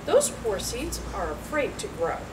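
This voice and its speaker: A woman's voice: medium pitch, wildly swooping pitch, unhurried. A woman voicing a patient, helpful frog gardener who gives advice in a storybook reading. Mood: reassuring. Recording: distant mic, loud background noise.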